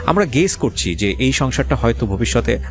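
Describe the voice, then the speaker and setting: A man with a Bangladeshi accent hosting a radio interview, speaking in a measured, reflective way and mixing in English words. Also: mid-range voice, big pitch swings. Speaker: radio show host. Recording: music playing behind the voice. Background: clear background music.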